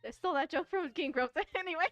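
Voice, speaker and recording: A woman's voice: very high-pitched, fast talker, a bit bright, lo-fi sound, faint music behind. A woman streamer reading a visual novel aloud expressively, mixing dramatic narration with casual commentary and stumbling over words.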